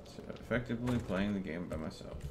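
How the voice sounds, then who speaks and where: A man talks casually through a live game stream. There is clear noise behind him, with keyboard typing. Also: fairly low pitch, quick pace. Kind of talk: casual live gameplay commentary and chat. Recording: noisy gaming setup with keyboard clatter. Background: keyboard typing, steady background noise.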